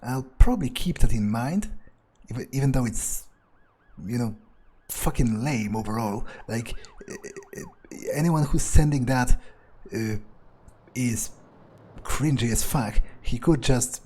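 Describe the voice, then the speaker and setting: A man's voice: mid-range voice, darkish tone, lightly acted. A man playing a sardonic, grumbling vampire who thinks aloud in casual, sweary monologue for a game roleplay. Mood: dismissive. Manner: grumbling, sarcastic, swears freely. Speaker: game player narrating in character.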